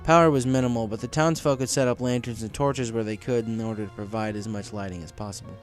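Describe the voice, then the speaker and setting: A man's voice: on the low side, fairly even intonation, quick pace. A man narrating a scripted story as an audiobook reader, in a dramatic storytelling manner. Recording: music behind the voice.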